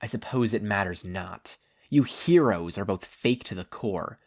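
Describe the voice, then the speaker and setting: Man voicing a cold, low, menacing killer. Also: lively intonation, measured pace. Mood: contemptuous menace. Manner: menacing, formal, contemptuous.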